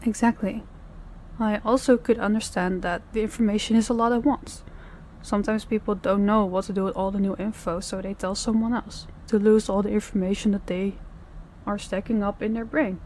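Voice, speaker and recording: A woman acting as a controlling female boss in an audio roleplay, speaking in a measured, polite and quietly pressing way. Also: medium pitch, slight background noise, natural voice. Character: controlling, probing female crime boss, outwardly courteous.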